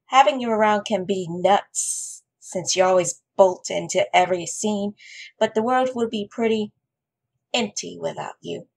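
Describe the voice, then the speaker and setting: Young woman, playful message reader, gravelly fry and a husky edge. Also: mid-range voice, a little slow, a little mellow. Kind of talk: birthday message with puns.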